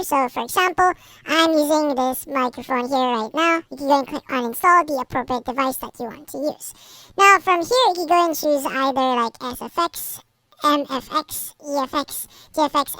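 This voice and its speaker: A woman with a high-pitched voice guides a software how-to. She goes through it step by step, repeating her phrasing. The recording is dry, with little room sound. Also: dry recording, low-quality recording. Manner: repetitive step-by-step instructing. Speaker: tutorial host. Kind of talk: screen-recorded software tutorial narration.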